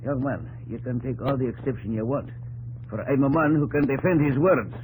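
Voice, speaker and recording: An actor playing a proud, hot-tempered gentleman in 1950s radio drama, declamatory and old-fashioned in his phrasing. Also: mid-range voice, brisk, slightly rough. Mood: affronted. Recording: noisy, humming old radio broadcast.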